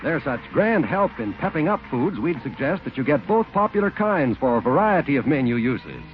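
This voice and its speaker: High-pitched male 1940s radio pitchman. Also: very animated, normal speed. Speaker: radio commercial announcer. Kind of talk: 1940s scripted radio advertising. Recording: dull, lo-fi 1940s radio recording. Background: music.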